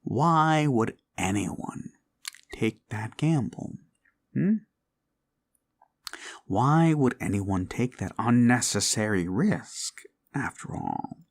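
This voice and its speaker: Man playing an obsessive yandere boyfriend, ASMR roleplay, slow menacing coaxing, swooping intonation, breathy whispers, controlling sweetness. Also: medium pitch, animated. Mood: menacing, possessive.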